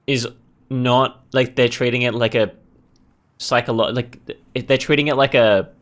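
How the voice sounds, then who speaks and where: A man's voice: medium pitch, fairly even intonation, unhurried, fairly bright tone. A man hosting a gaming video, giving casual, rambling commentary as he thinks aloud. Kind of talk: casual let's play commentary.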